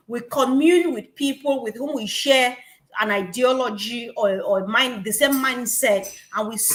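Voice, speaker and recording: A high-voiced woman speaks with animated passion to a gathering, circling back over her points. Her voice rings around a reverberant room. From West Africa. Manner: animated, repetitive, laughing asides. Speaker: guest speaker. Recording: echoey room.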